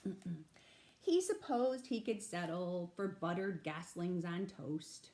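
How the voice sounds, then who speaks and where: A woman reads a picture book aloud for young children at an unhurried, slow pace. Her delivery is expressive and emphatic, and she steps out of the text to explain things like a children's librarian. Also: lowish voice. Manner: animated, emphatic, with explanatory asides to little listeners.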